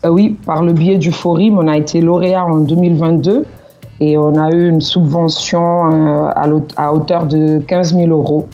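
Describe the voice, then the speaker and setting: A woman's voice: low-pitched, fairly even intonation, moderate pace, muffled recording, faint music behind. A woman from Portugal, speaking as a guest on a radio interview, earnest and full of hesitations. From Portugal, Cape Verdean parents. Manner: hesitant, many fillers, earnest. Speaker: association president.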